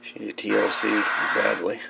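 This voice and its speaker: A man with a gravelly voice giving a casual, folksy hands-on demonstration as a hobbyist repairer. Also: on the low side, level tone, normal speed, very noisy. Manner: informal, folksy, calls the radio "her". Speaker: radio repair hobbyist presenting a how-to video.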